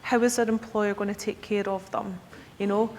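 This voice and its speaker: A woman in her thirties with a Scottish accent gives a talk at a conference, chatty and relaxed, regularly checking in with the room. Her voice sits at a middle pitch and carries a long reverb, with a faint low rumble underneath. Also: normal speed. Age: 30s. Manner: chatty, checks in with the audience with "okay?". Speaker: key account manager presenting to advisers. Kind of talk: conference presentation. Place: conference hall. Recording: echoey hall with faint rumble.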